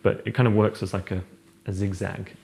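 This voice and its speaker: Young man, low gravelly voice. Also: fairly quick, slight background noise. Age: young adult. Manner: relaxed, rambling, many fillers and restarts. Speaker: architect guest. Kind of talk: casual chat, house tour. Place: large open-plan house living room, indoors.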